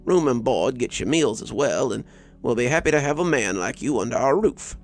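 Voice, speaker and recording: A man's voice: mid-range voice, lively intonation, moderate pace, faint music behind, natural voice. A man voicing a kindly, plain-spoken father in a story reading. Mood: welcoming.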